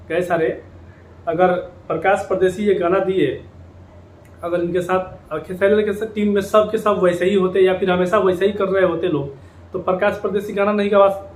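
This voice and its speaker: A man giving informal opinion commentary to viewers, repeating phrases for emphasis. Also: high voice. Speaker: YouTube commentator on Bhojpuri music industry. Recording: echoey room with background noise.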